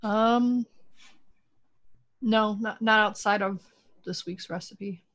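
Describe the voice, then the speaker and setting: A woman's voice: mid-range voice, steady tone, takes it slowly, a bit bright. A slightly congested woman chatting casually as a panelist on a video call from home. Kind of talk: casual chat. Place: room at home.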